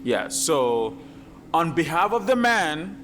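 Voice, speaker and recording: A man on a panel, earnestly addressing a woman and slowing down for effect. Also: fairly high pitch, sing-song, background noise. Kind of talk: casual panel chat.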